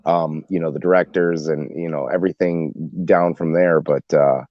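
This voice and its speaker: A man in a casual group discussion, rambling as he thinks out loud. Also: very deep voice, fairly even intonation, normal speed, no room sound, muffled recording. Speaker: podcast panelist.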